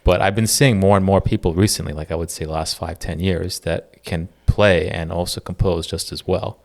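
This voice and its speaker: A man with a very low voice hosts a casual podcast. He chats loosely and asks curious, open questions. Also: steady tone, moderate pace, vocal fry. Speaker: podcast host.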